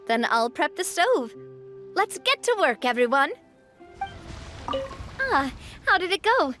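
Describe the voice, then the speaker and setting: Young female boss for a game: high, bright, cheerful, confident, soft music behind. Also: big pitch swings, slow, full character voice. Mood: upbeat. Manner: upbeat, confident, friendly. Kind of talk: video game dialogue acting.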